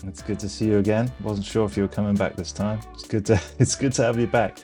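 A man's voice: lowish voice, fairly even intonation, fast, warm tone, soft background music. A Welsh man co-hosting a podcast in casual chat, joking and teasing his co-host. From Wales. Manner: joking, teasing, calls people mate.

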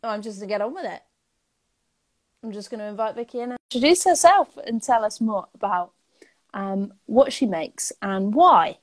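A woman's voice: mid-range voice, animated, normal speed. A woman hosting an Instagram Live, chatting casually and rambling with lots of ums. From England. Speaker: host of an Instagram Live interview. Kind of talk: casual livestream chat. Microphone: phone or laptop on a live stream.

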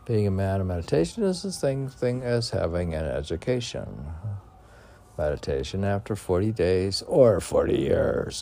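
A man's voice: low voice, creaky, warm tone. A man reading his own mystical poems at home in a rambling, musing way, chuckling between lines. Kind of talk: meditative poetry recitation mixed with casual home chat. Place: home room with windows.

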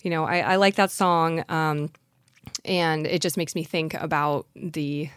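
A woman's voice: low voice, fairly even intonation, unhurried, clean tone, no room sound, studio quality. A woman singer and guest in a casual interview, recovering from a cold and rambling with many fillers and laughs. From Washington DC area. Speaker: singer in an acoustic duo, guest. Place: studio.

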